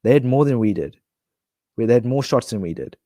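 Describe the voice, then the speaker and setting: A man's voice: mid-range voice, expressive, a little fast, dark tone, no room sound, studio quality. A man streaming a football manager game, talking casually and rambling with restarts, his nose blocked. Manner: chatty, rambling, many restarts and fillers. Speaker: gaming streamer.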